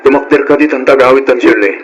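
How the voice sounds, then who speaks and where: Earnest Ilocano older brother played by a man, mid-pitched. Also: quick pace, loud music behind the voice, close to an everyday voice, low-quality recording. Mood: stern, exasperated. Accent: Filipino, Ilocano. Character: worried, scolding older brother, earnest and responsible. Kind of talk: Ilocano radio drama acting.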